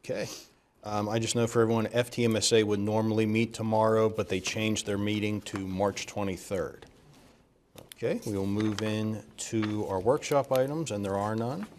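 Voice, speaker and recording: Brisk man chairing a meeting. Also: on the low side, steady tone, a little mellow, a little hiss or hum. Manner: brisk, procedural.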